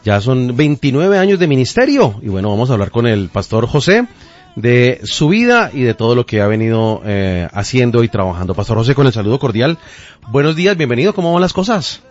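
A man's voice: mid-range voice, very animated, fairly quick. A man with a Colombian accent hosting a conversational radio interview, addressing his guest courteously and formally. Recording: dull, band-limited radio audio.

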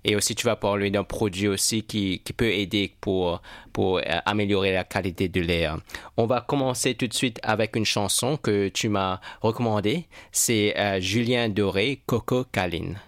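A man hosting a community radio interview in a studio, measured with small hesitations. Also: lowish voice, a bit bright, dry recording. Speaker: radio host.

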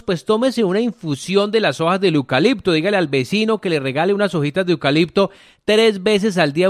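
A man's voice: sits high, no echo, studio quality. A Colombian man giving conversational health advice on the radio as a natural medicine doctor, talking to listeners in a folksy, colloquial way. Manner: folksy, colloquial, addresses listeners directly with diminutives.

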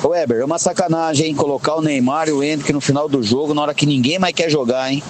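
Male caller, fast, emphatic complaining, faint vehicle noise. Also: fairly high pitch. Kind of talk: casual call-in message.

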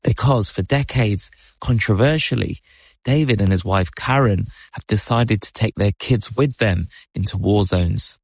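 Male radio documentary presenter. Scripted, measured narration, lowish voice with plenty of creak. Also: a little mellow, dry recording.

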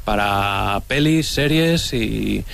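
A man from Bilbao, an audience contestant on a radio quiz, plain and relaxed. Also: fairly low pitch, very slow, creaky. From Bilbao, Spain.

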